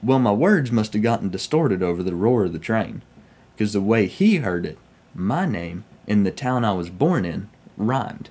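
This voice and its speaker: Low-pitched young Southern US man telling stories. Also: sing-song, normal speed, a little mellow, husky. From Oklahoma, United States. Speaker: railfan vlogger. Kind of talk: casual first-person storytelling. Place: small room at home, indoors.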